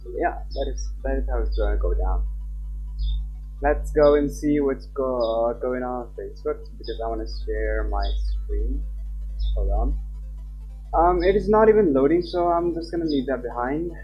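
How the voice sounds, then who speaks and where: A man's voice: mid-range voice, animated, normal speed. A man who is a native Nepali speaker, chatting informally on a livestream and half muttering to himself as he goes. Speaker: live streamer. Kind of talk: casual livestream chat. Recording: dull lo-fi stream audio, music behind. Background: background music.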